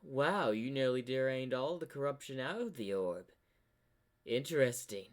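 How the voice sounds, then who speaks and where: Guy doing a smug old evil zebra spirit, cartoon villain, real slow drawl, bored and teasing. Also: mid-range voice, expressive, lightly acted. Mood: gleeful, taunting. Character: sly ancient corrupted zebra spirit, smug, bored manipulator. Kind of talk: fan-made fantasy cartoon voice acting.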